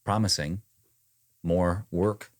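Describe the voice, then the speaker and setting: A man's voice: low-pitched, fairly even intonation, takes it slowly, no room sound. A young man co-hosting a casual podcast, talking in a joking, teasing way about his week's work. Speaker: voice-over talent, podcast co-host. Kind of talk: casual chat.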